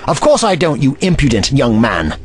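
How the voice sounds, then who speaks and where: A man's voice: mid-range voice, wildly swooping pitch, normal speed, faint music behind. A man voicing a haughty, eccentric time-traveling scientist in an audio drama, pompous and lecturing.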